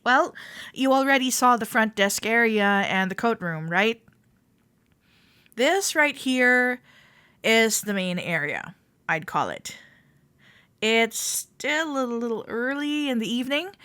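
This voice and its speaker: A woman playing a friendly, teasing club member giving a tour in a roleplay audio, speaking to the listener in a gentle, reassuring way. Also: fairly high pitch, clean tone, no echo, exaggerated voice.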